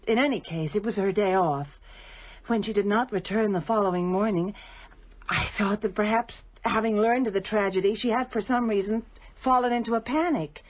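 An actress playing a haughty, gracious widowed baroness in a radio drama, with formal, emphatic, theatrical speech and a husky voice. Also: female voice, mid-range voice, normal speed, lightly acted. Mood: composed, explaining. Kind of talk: British radio drama acting. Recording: muffled radio broadcast.

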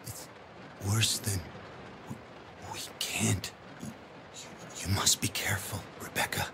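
An actor playing a badly wounded soldier in a video game, gasping and halting as he gives a dread-filled warning. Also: on the low side, fairly even intonation, noisy background. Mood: dying, terrified. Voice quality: gravelly, breathy, faltering. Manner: halting, gasping, struggling to get words out.

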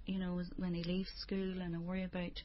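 A woman's voice: on the low side, monotone, fast talker, muffled recording. A woman with a Northern Irish accent talking personally as a parent in an intimate conversation.

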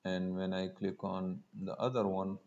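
A man giving a step-by-step screencast programming tutorial, halting as he thinks aloud while typing. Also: deep voice, little pitch movement, a little mellow. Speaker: programming instructor. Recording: dull lo-fi mic with noise.

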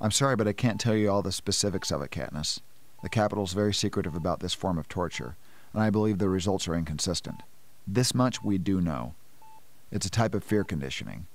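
A man's voice: low-pitched, lively intonation, fairly quick. A middle-aged man acting in a radio play as a methodical scientist, explaining things precisely. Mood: explanatory.

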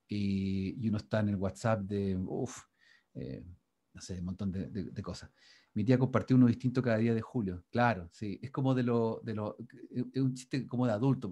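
A male university lecturer teaching over a video call. His voice is husky and mellow. He talks loosely, restarting phrases and tossing questions at his students. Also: lowish voice. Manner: rambling, frequent restarts and fillers, asks the class "¿ya?". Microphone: video call microphone.

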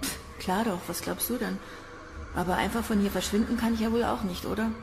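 An actress playing a cynical young space recruit in a radio drama, blunt, slangy and gossipy. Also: female voice, mid-range voice, fairly even intonation, fairly quick, noisy background, natural voice. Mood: cynical gossip.

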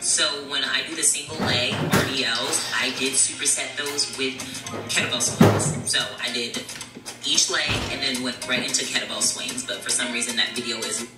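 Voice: medium pitch, fairly even intonation, moderate pace. A person talking casually about their leg training and workout routine. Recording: distant echoey playback under loud music. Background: loud music.

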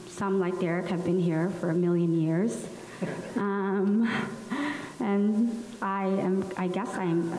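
A young woman from Somalia, speaking on a panel into a handheld microphone, telling her story slowly with many pauses and fillers. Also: medium pitch. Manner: slow, many ums, soft laughs. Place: large room at a public panel event. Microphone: handheld mic. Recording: echoey hall with faint hiss.

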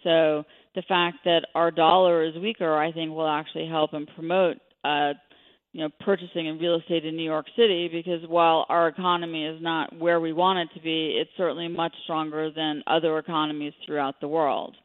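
A woman's voice: sits low, flat delivery, a little fast. A woman speaking as an expert guest in a radio interview, giving commentary on the economy. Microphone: telephone. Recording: narrow, tinny phone line.

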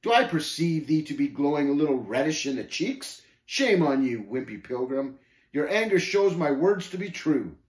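A man's voice: on the high side, big pitch swings, normal speed, voice pushed up. A man voicing Shame's part in a story, a haughty scoffer who talks in archaic speech with contemptuous, gloating, snapping taunts. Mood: victorious, forceful. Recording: roomy, echoing voice recording.

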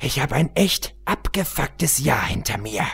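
A man's voice: medium pitch, big pitch swings, unhurried, creaky, fairly bright tone, slightly rough, voice pushed up, exaggerated voice. A man voicing a brash, embittered young female pony fighter in a storybook, emphatic and aggrieved. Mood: bitter, angry.

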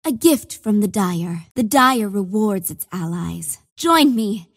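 An actress playing a bloodthirsty young female warlord of a dark cult in a video game, with imperious, menacing, theatrical delivery. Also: medium pitch, expressive, slow, slightly rough, no echo, studio quality, heavily acted. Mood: fierce, resolute.

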